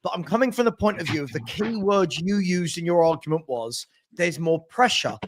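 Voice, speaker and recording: High-voiced man pressing his point. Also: expressive, moderate pace, slight background noise. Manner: probing, builds his case step by step. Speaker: football fan panelist. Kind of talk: heated football debate on a livestream.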